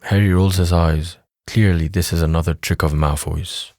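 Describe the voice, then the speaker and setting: A man's voice: low-pitched, steady tone, normal speed, a little mellow, no room sound, clean studio sound. A man reading scripted narration for an audio drama.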